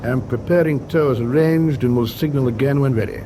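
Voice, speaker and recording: An actor playing a composed, businesslike warship captain in a radio war drama, speaking in a measured, formal way. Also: male voice, medium pitch, background noise, natural voice. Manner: measured, dictating signals formally. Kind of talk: full-cast radio war drama acting. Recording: clear outdoor sea ambience behind.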